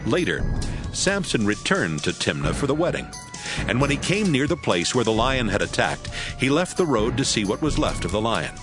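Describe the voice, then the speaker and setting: A man's voice: medium pitch, very animated, normal speed, over music. A man giving dramatic scripted narration for a Bible audio drama, as storyteller.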